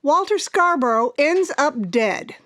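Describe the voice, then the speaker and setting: High-voiced radio interviewer. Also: female voice, animated, measured pace, a bit bright, dry recording. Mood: probing. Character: brisk, probing female radio talk show host. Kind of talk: radio drama acting as a broadcast interviewer.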